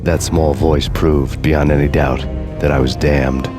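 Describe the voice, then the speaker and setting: A world weary american noir cop, super deep flat deadpan voice, game narration over loud music. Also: male voice, unhurried. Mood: brooding, bleak. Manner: brooding, deadpan, sardonic. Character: world-weary, vengeful hardboiled male detective narrator.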